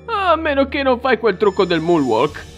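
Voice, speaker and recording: A woman's voice: fairly high pitch, wildly swooping pitch, moderate pace, faint music behind. A woman voicing an eager, clueless masked young killer in a cartoon parody, earnest, hesitant and over-explaining. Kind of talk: comic cartoon parody dubbing.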